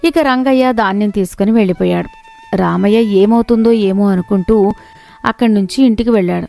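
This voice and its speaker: A woman's voice: medium pitch, fairly quick, creaky, mellow, faint music behind. A woman reading a scripted moral story as its narrator.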